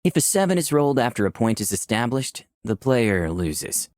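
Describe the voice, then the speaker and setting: An actor playing a composed, charming incarnation of lust, in visual novel dialogue and inner monologue. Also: male voice, medium pitch, very animated, moderate pace, darkish tone, no room sound, clean studio sound, natural voice. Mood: musing.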